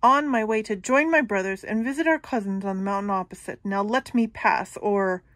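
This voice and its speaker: A woman's voice: mid-range voice, normal speed, fairly bright tone, dry recording, clean studio sound, lightly acted. A woman voicing a huge, bold male billy goat who answers back defiantly. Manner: defiant, roaring.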